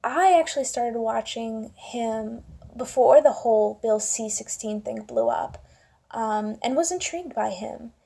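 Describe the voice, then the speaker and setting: A woman's voice: a little high, unhurried, vocal fry, dark tone. A young woman giving her opinions casually in a vlog, with an informal, wry way of talking. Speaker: video commentator.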